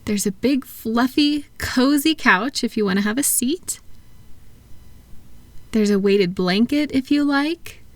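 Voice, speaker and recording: A woman playing a kind, unhurried attendant at a calming clinic, soft-spoken and reassuring in a relaxation roleplay. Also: on the high side, no room sound. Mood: gently hospitable.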